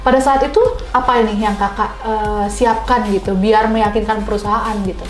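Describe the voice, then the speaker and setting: A woman's voice: mid-range voice, fairly even intonation, moderate pace. A young woman hosting a casual interview in a chatty, informal way, repeating answers back as questions. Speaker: talk show host. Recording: roomy echo, faint music behind. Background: faint background music.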